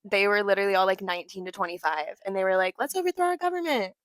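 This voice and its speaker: A young woman co-hosting a casual podcast, slightly hoarse from talking, gossiping with a sarcastic edge and frequent laughter. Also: medium pitch, rapid-fire, no room sound. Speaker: podcast co-host. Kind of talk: casual chat.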